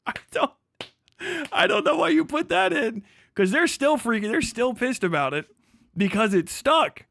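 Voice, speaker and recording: A man's voice: very high-pitched, big pitch swings, normal speed, gravelly, sharp tone, dry recording, studio quality. A man livestreaming combative, off-the-cuff reaction commentary, indignant and mocking, swearing heavily and repeating phrases for emphasis.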